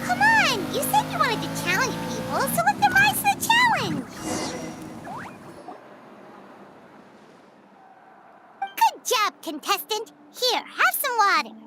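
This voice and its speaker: An actress voicing a tiny, chirpy, excitable girl companion in an anime game, with a squeaky childlike voice. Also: moderate pace, heavy background noise, full character voice. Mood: cheering. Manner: excitable, exclamatory. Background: music.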